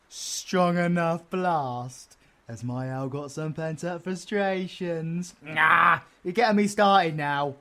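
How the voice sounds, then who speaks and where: An actor playing a cheeky, foul-mouthed British supervillain rogue in an audio roleplay, slangy and excitable in banter. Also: medium pitch. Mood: playful, bawdy.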